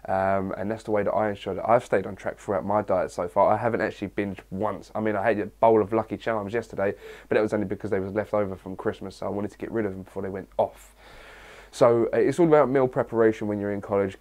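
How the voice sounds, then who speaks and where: A young man from England with a cold and a hoarse voice, chatting his way through a casual Q&A vlog. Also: fairly low pitch, a little mellow. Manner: chatty, rambling, reads questions aloud. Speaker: fitness vlogger.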